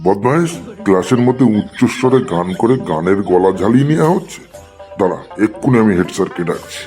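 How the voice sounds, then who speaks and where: A man's voice: on the low side, animated, brisk, warm tone, soft background music, lightly acted. A man voicing a stern, easily angered schoolteacher who scolds in a cartoon comedy. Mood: angry.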